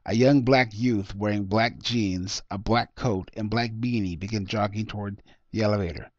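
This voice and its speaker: A man's voice: lowish voice, fairly even intonation, moderate pace, dry recording. A man reading his own short story aloud as scripted narration. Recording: dull lo-fi close recording.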